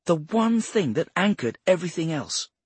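A man's voice: on the high side, sing-song, moderate pace, husky, no room sound, voice pushed up, exaggerated voice. A man voicing an earnest, brainy young woman analyst who explains things precisely and insistently in an audiobook.

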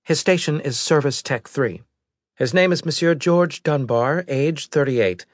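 A man voicing a brisk, formal female military intelligence officer giving precise reports in an audiobook. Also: a little high, dry recording, clean studio sound, voice pushed up, lightly acted.

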